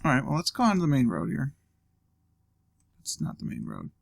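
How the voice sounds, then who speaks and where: A man's voice: medium pitch, huge pitch swings, moderate pace, creaky, husky. A man casually thinking aloud while playing a geography guessing game. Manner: thinking aloud, musing and joking. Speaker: let's play host. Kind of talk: casual gameplay commentary.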